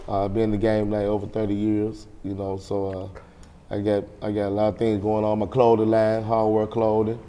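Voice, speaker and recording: Middle-aged man, mellow, monotone, casual chat, reverberant room. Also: on the low side, moderate pace, clear, resonant tone. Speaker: interviewee, producer and actor. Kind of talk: casual self-introduction.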